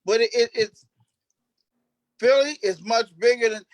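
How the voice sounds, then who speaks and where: A man with a high-pitched voice talks as a cast member in an unscripted discussion. He is emphatic and circles back over his phrases with restarts. The sound is dry and close. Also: dry recording. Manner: emphatic, repetitive, halting restarts. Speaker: stage play cast member.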